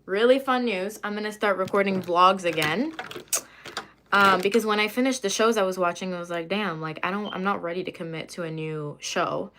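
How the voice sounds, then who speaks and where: A woman's voice: mid-range voice, brisk, background noise. Woman, casual livestream chat, rambling, lots of fillers, at home, answering comments. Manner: chatty, rambling, many fillers and asides. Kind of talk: casual livestream chat while doing makeup.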